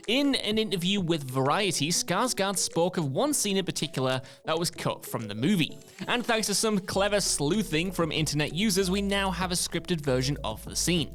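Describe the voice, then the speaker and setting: A man's voice: high voice, a bit bright. A man presenting a scripted countdown video in a conversational, lightly wry way. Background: background music.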